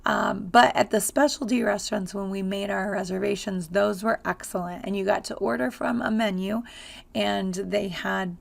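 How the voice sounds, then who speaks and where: Woman with a lost voice, super gravelly and raspy, chatty travel vlog, lots of ums. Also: medium pitch, fairly even intonation, normal speed. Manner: chatty, with frequent ums and uhs. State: hoarse from screaming. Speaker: travel vlogger.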